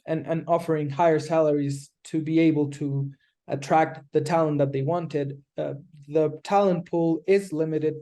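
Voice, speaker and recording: Mellow-voiced Colombian man. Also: a little high. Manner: measured, explanatory, frequent restarts. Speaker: guest. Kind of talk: conversational interview answers.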